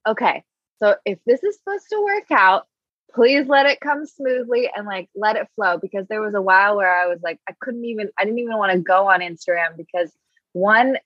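A woman's voice: mid-range voice, a little fast, dry recording. Reflective woman guest, muffled sound. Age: 30s. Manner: reflective, earnest, many "like" fillers. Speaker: interview guest. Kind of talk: casual interview chat.

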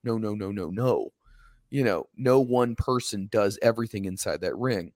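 A man co-hosting a casual wrestling podcast, a little hoarse, talking emphatically and ranting at times. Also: fairly low pitch, moderate pace, no echo, clean studio sound. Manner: emphatic, ranting, animated when quoting others. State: slightly hoarse.

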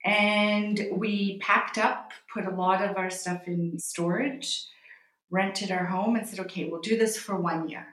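A Canadian woman in her forties, a magazine editor speaking as an interview guest, reflective and pausing as she restarts her phrases. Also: medium pitch, fairly even intonation, a bit bright. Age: 40s. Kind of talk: interview conversation. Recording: roomy echo.

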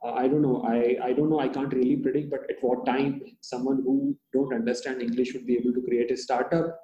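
An Indian-accented man, a native Hindi speaker, answers interview questions in a halting way, full of restarts and fillers. His voice is mellow and dark, and the room gives it a noticeable echo. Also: mid-range voice, level tone, muffled recording, a little hiss or hum. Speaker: startup founder, guest. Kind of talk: interview conversation.

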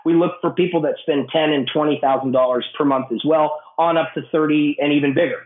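A man with a cold, his voice raspy and hoarse, answering interview questions at length with many restarts. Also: fairly high pitch, fairly even intonation, fast. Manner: explanatory, with frequent restarts and fillers. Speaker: guest, business owner. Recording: tinny phone-like line in an echoey room.

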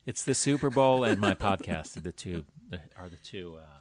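A man hosting a podcast, asking quick, joking questions in casual chat. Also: low-pitched, lively intonation, no echo. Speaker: podcast host.

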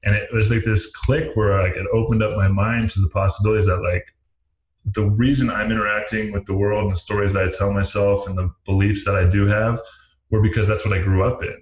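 Low, even-toned American man in echoey room. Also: fairly quick. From United States. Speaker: interviewer, host. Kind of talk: conversational interview. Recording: echoey room, dull lo-fi audio.

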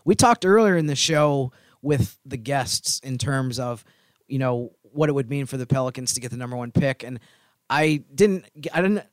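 A man talks sports as a podcast co-host in a close, dry recording. His pitch sits in the middle, and he explains things in a measured, slightly hesitant, conversational way. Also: expressive, dry recording. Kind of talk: casual sports chat.

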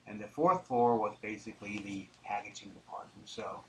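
A middle-aged man from Denmark, talking conversationally and haltingly as an interview guest. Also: fairly low pitch, a little mellow, poor audio. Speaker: interview guest, tobacco businessman. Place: studio, live interview. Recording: clear background noise.

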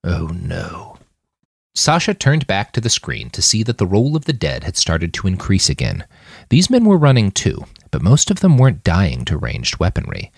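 A man's voice: fairly low pitch, wildly swooping pitch, normal speed, slightly rough, dry recording, clean studio sound. A man reading scripted fiction as a dramatic audiobook narrator, acting out the dialogue. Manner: dramatic, acts out character dialogue. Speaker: author reading his fiction podcast.

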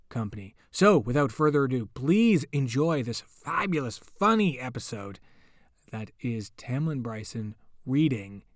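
A man's voice: medium pitch, no room sound, studio quality. A man hosting a podcast, casually introducing a guest reader with chatty, rambling asides. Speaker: podcast host.

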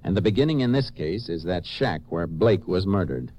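Low-voiced Texas Ranger, muffled old radio. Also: male voice, animated, moderate pace. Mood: calm, resolute. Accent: American. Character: Texas Ranger, calm methodical male investigator and narrator. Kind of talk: 1950s radio drama acting with scripted narration. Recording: muffled, noisy old-time radio recording.

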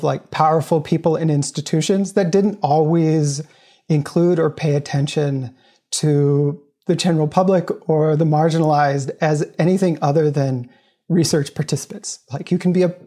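A man's voice: fairly high pitch, steady tone, moderate pace, dark tone. A man from the United States, a professor, answering interview questions earnestly and explaining at length. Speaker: guest, professor.

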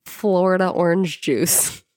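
A woman's voice: on the low side, slow pace, darkish tone, no echo, studio quality. An American woman co-hosting a podcast in casual conversation, informal and peppering her talk with "like". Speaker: podcast co-host. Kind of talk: casual chat.

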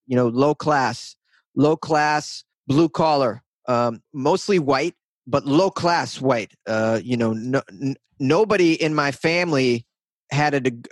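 A man's voice: medium pitch, dry recording. A man in his thirties from north of Boston, chatting as an interview guest in a rambling, self-deprecating way. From north of Boston, USA. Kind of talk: casual interview chat.

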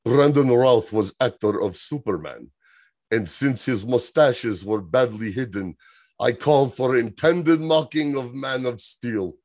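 A man's voice: medium pitch, lively intonation, a little slow, a little mellow, no room sound. A man voicing a review writer in a mock Russian accent.

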